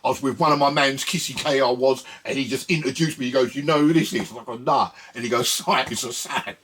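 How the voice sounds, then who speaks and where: A man's voice: medium pitch, a little fast, a bit bright, husky. A British man hosting a music channel, chatting casually and colloquially to viewers in a rambling way with frequent tag questions. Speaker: host of a music video channel. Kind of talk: casual chat to viewers about records.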